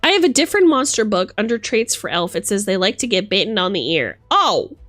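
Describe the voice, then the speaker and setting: A woman streamer chatting casually and reading aloud from a rulebook, with an animated, theatrical manner as she reacts. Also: mid-range voice, fairly quick, dry recording. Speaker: streamer host. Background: music.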